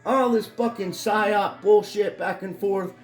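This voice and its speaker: A middle-aged man with a hoarse voice, ranting angrily and profanely in a rambling home vlog. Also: high-pitched, steady tone, slow pace, roomy sound, faint music behind. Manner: angry, profane, rambling rant. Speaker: vlogger. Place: his home in Florida.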